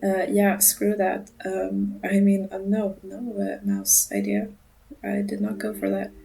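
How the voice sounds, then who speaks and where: Nervous mumbling young woman, gravelly voice. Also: medium pitch, measured pace. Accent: non-native English. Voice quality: monotone. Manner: nervous, mumbling, laughs often. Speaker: art channel creator. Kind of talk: casual voiceover narrating a drawing. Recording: music playing underneath.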